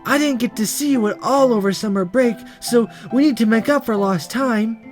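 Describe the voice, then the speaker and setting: A man's voice: extremely high pitch, moderate pace, soft background music, pitched above the performer's own voice. A man voicing a bubbly, chatty, airheaded teenage schoolgirl while reading a visual novel aloud. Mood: chatty, apologetic. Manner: gushing, chatty.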